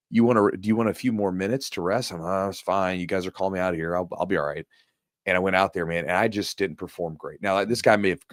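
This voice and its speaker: A man's voice: low-pitched, no echo, studio quality. A man hosting a podcast, telling a competition story in a casual, conversational way and often repeating his words. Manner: conversational, repeats words, lots of "you know". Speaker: podcast host and jiu-jitsu coach.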